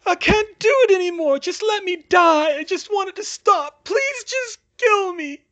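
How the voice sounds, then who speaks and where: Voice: extremely high pitch, animated, a little slow, sharp tone, voice pushed up, heavily acted. A performer voicing a delirious young man who pleads in childlike terror with ragged, sobbing cries. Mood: anguished screaming. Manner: babbling, begging, repeating pleas.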